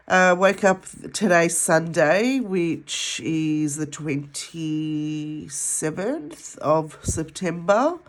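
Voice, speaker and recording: Australian woman, congested nasal voice, low-pitched, unhurried rambling chat. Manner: rambling, chatty, with many ums. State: nasal from a head cold. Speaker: budgeting vlogger. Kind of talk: casual chat while counting cash.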